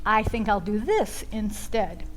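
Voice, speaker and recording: A woman's voice: mid-range voice, animated, unhurried, rough recording. A woman telling a comic personal story as a performer would on stage. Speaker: storytelling contestant.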